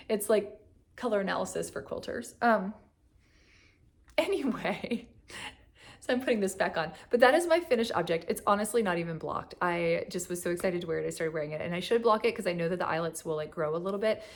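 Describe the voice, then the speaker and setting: A young woman, slightly hoarse from a cough, chatting casually at home about her knitting, rambling with lots of fillers and little laughs. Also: lowish voice, expressive, fairly quick. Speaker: knitting vlogger. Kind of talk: casual chat. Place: at home on a couch.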